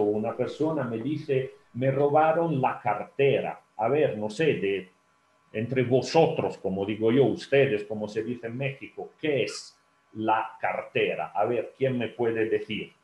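A man whose first language is Italian lectures students over a video call in a slow, halting way. He fills pauses with ums and restarts, and often turns to ask the audience questions. His mid-pitched voice sounds roomy and echoey. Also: dull audio. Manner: hesitant, many fillers and restarts, asks the audience. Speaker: telephone interpreter giving a talk.

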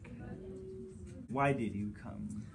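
Male student interviewer, slow and hesitant, light background hiss. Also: on the low side, vocal fry. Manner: hesitant, many "um" and "uh". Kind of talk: interview questions. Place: school conference room.

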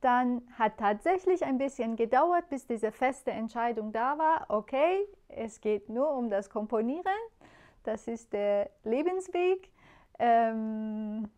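Composer from Iran, forties, interview; slow-paced, hesitant, thoughtful delivery. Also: female voice, fairly high pitch, expressive, a little mellow. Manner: unhurried, thoughtful, many hesitation fillers. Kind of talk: interview answers. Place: bright living room, indoors.

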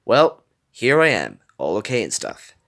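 A man's voice: on the low side, huge pitch swings, unhurried, gravelly, a bit bright, no echo, clean studio sound, exaggerated voice. A man voicing a blunt, guarded young woman in a dramatic story, curt and defensive.

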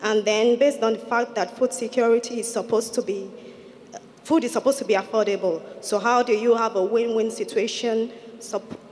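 A woman's voice: on the high side, fairly even intonation, normal speed, darkish tone. A woman asks a direct question from the audience at a conference, into a handheld mic. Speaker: audience member asking a question. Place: large conference hall. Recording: reverberant conference hall.

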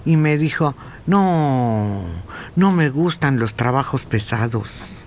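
A man co-hosting a radio show who reads listener messages aloud in a measured way. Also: medium pitch, expressive, a little mellow, slight background noise. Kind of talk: reading messages on air.

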